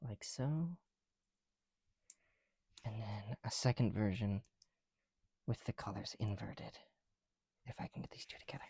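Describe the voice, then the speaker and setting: A young man chatting casually in soft, breathy ASMR style, often dropping to a whisper, slightly breathless behind a mask, with a rambling, self-deprecating manner. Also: lowish voice, unhurried, pauses often, heavy background noise. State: somewhat breathless through a heavy mask. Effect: speaking through a mask. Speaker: ASMR video creator. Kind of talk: ASMR, casual chat while building bricks. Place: indoors, at home.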